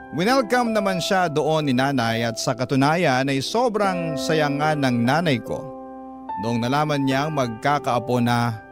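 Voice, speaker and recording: An actor playing an earnest young man who narrates his life story for a Filipino radio drama, sincere and confiding. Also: fairly high pitch. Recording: guitar music behind the voice.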